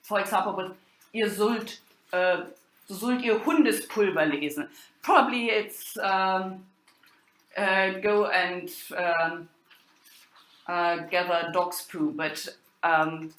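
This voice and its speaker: A woman giving an academic lecture in a halting way, pausing and hesitating often. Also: lowish voice, a bit bright. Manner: halting delivery with long hesitations. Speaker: lecturer, university professor. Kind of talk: spoken lecture on old drama texts. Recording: echoey room.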